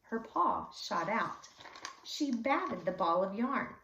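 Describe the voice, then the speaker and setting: A woman reading a children's story aloud expressively, with deliberate, dramatic emphasis for young listeners. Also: medium pitch, very animated, creaky, pushed and bright, dull audio, slight background noise. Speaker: read-aloud storyteller for schoolchildren. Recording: echoey room, slightly distant mic.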